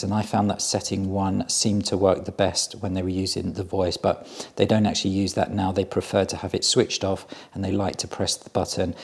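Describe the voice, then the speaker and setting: A man's voice: low-pitched, gravelly, a little mellow, husky. A man giving a casual hands-on product review, explaining each step in a plain-spoken way.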